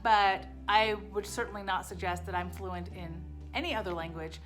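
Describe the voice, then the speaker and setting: A woman's voice: medium pitch, fairly even intonation, moderate pace, a bit bright, faint music behind. A woman vlogger whose first language was Japanese, talking through her language-class experience in a candid, self-deprecating way, often repeating short phrases. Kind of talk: casual vlog reflection.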